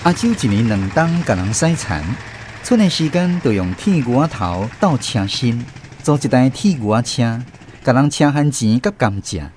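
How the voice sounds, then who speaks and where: A man with a Taiwanese accent narrating a radio drama in a folksy, expressive storytelling manner. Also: medium pitch, normal speed, a little hiss or hum.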